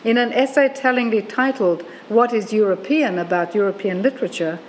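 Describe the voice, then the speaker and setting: A woman who grew up in Australia with German as her first language, giving an academic lecture. She reads at a measured pace, corrects herself, and turns to the audience with questions. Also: medium pitch, a little hiss or hum, poor audio. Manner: measured reading with asides to the audience and self-corrections. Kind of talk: academic lecture, reading a paper aloud. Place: lecture room.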